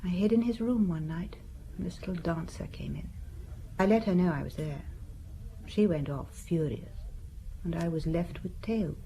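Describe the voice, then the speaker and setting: A frank, self-possessed young woman confesses in a hushed, low voice, played by an actress. Her tone is mellow and dark, and she stays composed and blunt. A faint hiss runs under the radio-drama recording. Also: moderate pace, close to an everyday voice, poor audio. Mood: cool. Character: cool, frank young woman with a troubled past. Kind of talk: radio play acting.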